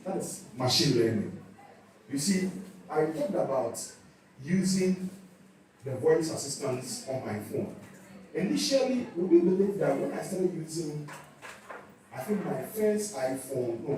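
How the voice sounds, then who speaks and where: A man's voice: medium pitch, sing-song, measured pace, a little mellow, slight background noise. A man with a Ghanaian accent giving a talk at a church youth session. He often repeats a word while searching for the next one. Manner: repeats words while searching for them, then speeds up. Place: church hall. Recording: echoey church hall, mic at a distance.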